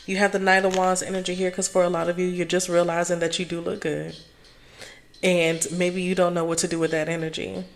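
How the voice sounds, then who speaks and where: A hoarse woman speaking calmly and softly as she gives a casual tarot reading. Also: on the low side. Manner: calm, soft-spoken, chatty. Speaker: tarot reader.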